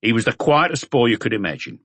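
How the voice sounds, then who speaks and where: A man's voice: medium pitch, very animated, quick pace, a bit bright, husky, dry recording, full character voice. A man voicing a powerful, opinionated butcher in an audiobook, talking bluntly as a bar regular. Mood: scornful, knowing.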